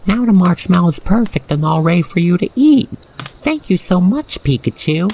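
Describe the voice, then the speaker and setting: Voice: mid-range voice, very animated, normal speed, dark tone, poor audio. Roleplay narrator, ASMR storytelling, playful wordy phrasing, faint night insect ambience. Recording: faint background noise, cricket night ambience.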